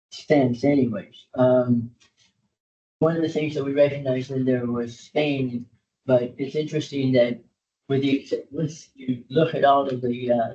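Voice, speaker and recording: A man from Cuba leads a public board meeting in a mellow, warm voice. He talks haltingly with many pauses and then reads out a formal proclamation. The sound is dull and lo-fi, like a meeting-room recording. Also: distant mic. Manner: hesitant, many filler sounds. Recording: dull low-fidelity meeting audio.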